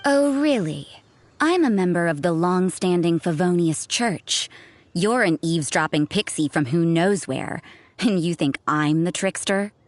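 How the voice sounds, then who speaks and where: A woman's voice: fairly low pitch, animated, a little slow. A woman playing a cool, sardonic, suspicious church sister in a video game, with a dry, cynical, interrogating manner. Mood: stern, suspicious.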